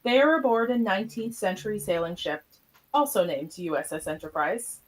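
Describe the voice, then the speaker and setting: A woman's voice: mid-range voice, normal speed, poor audio. A woman reading scripted stage directions as the narrator of an amateur radio play.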